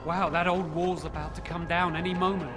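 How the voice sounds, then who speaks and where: Fantasy game companion played by a man: high-pitched male mage, music behind. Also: flat delivery, brisk, natural voice. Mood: curious. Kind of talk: video game voice acting.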